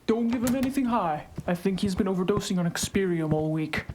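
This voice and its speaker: A woman's voice: medium pitch, lively intonation, brisk, over music, close to an everyday voice. A woman playing a blunt, exasperated but caring colleague in an audio drama. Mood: concerned. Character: blunt, exasperated, knowledgeable female colleague, worried.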